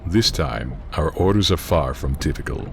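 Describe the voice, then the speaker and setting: An actor playing a cold, aloof elite soldier commander in a video game, speaking in a measured, detached way. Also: male voice, extremely low pitch, expressive, vocal fry, fairly bright tone, a little hiss or hum, exaggerated voice. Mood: cool, detached.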